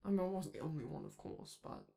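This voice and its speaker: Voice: medium pitch, huge pitch swings, quick pace, gravelly, mellow, heavily acted. A performer voicing a flamboyant male robot TV star, vain and charming, who talks theatrically and flirts with the listener in a romantic audio roleplay. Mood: fond, theatrical.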